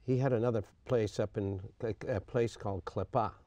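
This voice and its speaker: An older man from Greece, a retired coach, recounting his life in an interview with a halting manner, often pausing and clearing his throat. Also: on the low side, fairly even intonation, dark tone, no echo, dull audio.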